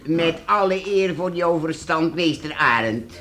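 An actor playing a plodding, distrustful coopers' guild master who grumbles sceptically in a radio play. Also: male voice, fairly high pitch, expressive, unhurried, a crowd in the background. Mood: wary, objecting.

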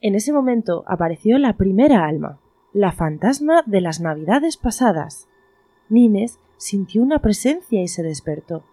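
A woman's voice: medium pitch, very animated, moderate pace, mellow. A woman reading scripted narration for a radio play in the manner of a story.

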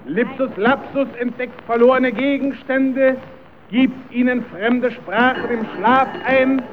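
An actor playing a grandiose, wheedling fairground barker in an old radio play, declaiming pompously. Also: male voice, very high voice, fairly even intonation, slow. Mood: pompous, enticing. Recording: tinny old radio recording with crowd noise.

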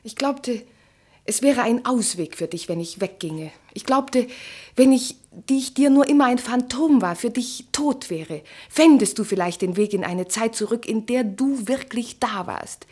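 An actress playing a bitter, mocking estranged wife in a German literary radio play, with an articulate, cutting, theatrical delivery. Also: female voice, a little high, expressive, unhurried, warm tone, breathy edge. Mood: bitter, intense.

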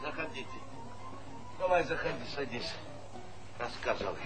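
An actor playing a brisk, folksy, middle-aged collective farm chairman who is bossy and repeats words in quick bursts, in a stage comedy. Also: mid-range voice, lively intonation, music in the background, close to an everyday voice. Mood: brisk, coaxing. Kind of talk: theatrical stage comedy acting. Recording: noisy 1970s Soviet theatre recording.

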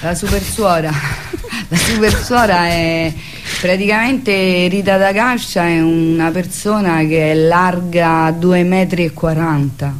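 A woman's voice: lowish voice, slow, soft background music. A woman, an artist guest chatting informally and explaining things in a loose, hesitant way. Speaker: visual artist, radio guest. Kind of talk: casual chat.

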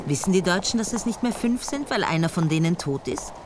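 A woman with an Austrian accent narrating a radio drama in first person and speaking as a brisk, impatient intelligence chief. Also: fairly low pitch, expressive, background noise.

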